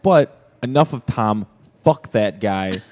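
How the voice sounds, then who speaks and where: A man's voice: fairly low pitch, lively intonation, slow pace, a little mellow. A man hosting a casual interview, chatting and joking in an informal, slangy, sometimes profane way. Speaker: podcast host interviewing a band.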